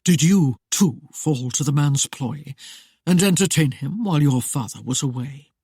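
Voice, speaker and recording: A woman voicing a stern, harsh masked lord with a rasping, curt and commanding voice. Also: deep voice, lively intonation, measured pace, dark tone, no room sound, clean studio sound, exaggerated voice. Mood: cold.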